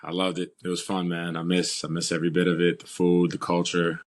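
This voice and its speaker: A young man in his twenties from New York, a football player, answering interview questions in a calm, steady way. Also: low voice, fairly quick. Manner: calm, steady storytelling with "uh" and "you know".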